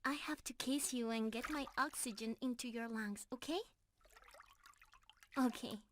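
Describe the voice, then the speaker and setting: Woman acting a high-voiced, sweet, lovestruck mermaid. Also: measured pace, noisy background, close to an everyday voice. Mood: soft, loving. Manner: sweet, giggly, tender, addressing the listener directly. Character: affectionate, playful young mermaid in love, reunited with beloved. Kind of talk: ASMR roleplay, scripted intimate acting.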